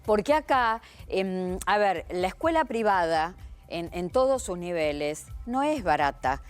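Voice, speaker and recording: A woman's voice: on the low side, animated, unhurried, clear, resonant tone, soft background music. An Argentine woman giving opinions as a panelist in a television news discussion.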